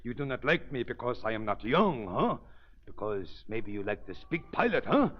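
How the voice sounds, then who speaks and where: An actor playing a bitter, boastful little middle-aged mad scientist with a foreign accent and broken English, in 1930s radio style. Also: male voice, medium pitch, very animated, moderate pace. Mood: menacing, leering. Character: mad undersized foreign scientist, fifty, bitter, gloating, lecherous. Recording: dull old 1930s radio recording.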